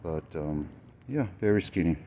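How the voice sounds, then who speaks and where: Low-voiced man, halting and full of ums. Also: lively intonation, warm tone, a little hiss or hum. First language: Romanian. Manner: hesitant, many fillers, thinking aloud. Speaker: motorcycle builder. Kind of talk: casual walkaround vlog.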